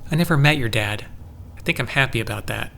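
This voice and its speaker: A man's voice: mid-range voice, lively intonation, quick pace, gravelly, sharp tone, slight background noise. A man playing an earnest, troubled, lovelorn farm resident in an audio drama, speaking confidingly. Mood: troubled, regretful. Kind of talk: scripted audio drama acting.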